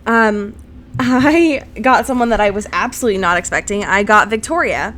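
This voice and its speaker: A woman's voice: medium pitch, lively intonation, normal speed, fairly bright tone, a little hiss or hum. A young woman co-hosting a podcast in casual banter, giggly and a little self-deprecating. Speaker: podcast co-host.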